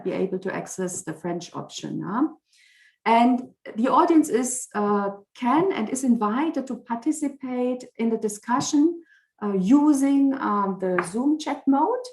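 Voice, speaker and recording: A woman chairs an online panel, introducing guests and laying out the agenda in a formal, measured manner. Her voice sits mid-range and comes through a video call with a noticeable echo of the room around her. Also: mid-range voice. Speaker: moderator of an online debate. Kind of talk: formal event moderation.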